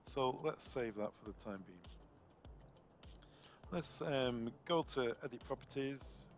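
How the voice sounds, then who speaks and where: A man presenting an unscripted software tutorial step by step, explaining as he goes and often repeating words as he finds his phrasing. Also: medium pitch, lively intonation, takes it slowly, soft background music. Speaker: product trainer demonstrating intercom software.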